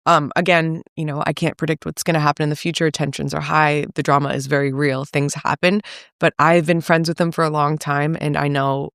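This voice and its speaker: Vocal-fry woman talking frankly. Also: deep voice, level tone, brisk, a bit bright, no room sound, clean studio sound. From Salem, Massachusetts. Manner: frank, run-on talk with frequent fillers and mild swearing. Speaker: podcast guest, reality show cast member. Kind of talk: candid conversational interview.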